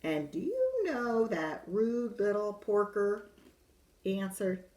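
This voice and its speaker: A woman's voice: medium pitch, big pitch swings, takes it slowly, a little mellow, pitched above the performer's own voice. A woman voicing a sly, self-pitying male wolf who tells his side in a chatty, confiding way, in a roomy space. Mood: protesting innocence. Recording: roomy echo.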